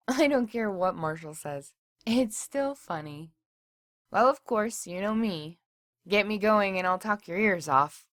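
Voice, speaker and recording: A woman's voice: mid-range voice, expressive, slow pace, no echo, clean studio sound. A woman playing a chatty, cheerful small-town motel desk clerk, rambling in a folksy, bubbly way through a diary. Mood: playful. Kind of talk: acted diary reading.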